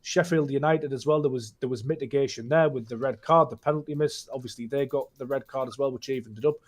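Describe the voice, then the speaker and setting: A man's voice: medium pitch, fast talker. A man giving casual, thoughtful football analysis as a guest, often restarting his sentences. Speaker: guest pundit.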